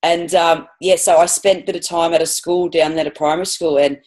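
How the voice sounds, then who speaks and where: A woman's voice: on the low side, fairly even intonation, brisk, darkish tone. Chatty Australian woman teacher. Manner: enthusiastic, rambling with many restarts and fillers. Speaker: guest, physical education teacher. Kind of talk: casual podcast interview.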